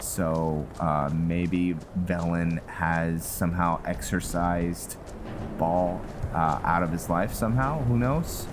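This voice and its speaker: A man giving unscripted game commentary, thinking aloud in a halting, musing way. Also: sits low, noisy background. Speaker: roleplay gamer, series host.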